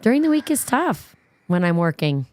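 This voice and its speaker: A woman's voice: lowish voice, very animated, normal speed, darkish tone, dry recording. A woman in her fifties co-hosting a casual podcast, answering with dry, sarcastic comebacks. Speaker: podcast co-host. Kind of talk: casual banter.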